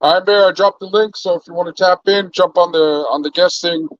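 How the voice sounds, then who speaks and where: A man's voice: high-pitched, steady tone, fairly quick, no echo, highs cut off. Laid-back man rambling on a livestream. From Canada. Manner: laid-back, rambling, shouts out viewers by name. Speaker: livestream host. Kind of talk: casual livestream chat.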